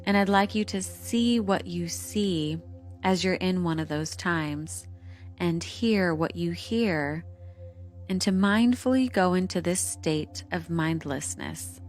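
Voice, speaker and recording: A woman narrating a scripted guided meditation in a soothing, hypnotic delivery, with measured instructions and lingering pauses. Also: fairly low pitch. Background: calming meditation music.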